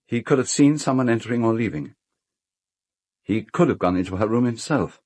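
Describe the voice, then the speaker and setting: An actor playing an urbane, sardonic British gentleman host in a radio drama, worldly and articulate with a dry wit. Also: medium pitch, lively intonation, moderate pace, no room sound, close to an everyday voice. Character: urbane, sardonic male host of an island house.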